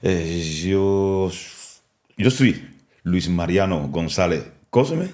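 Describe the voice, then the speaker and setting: Deep-voiced Cuban-accented man, halting. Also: lively intonation, darkish tone. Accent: Cuban Spanish. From Cuba. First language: Spanish. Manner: halting, with restarts and repeated words. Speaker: national boxing coach. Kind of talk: interview, mixing French and Spanish.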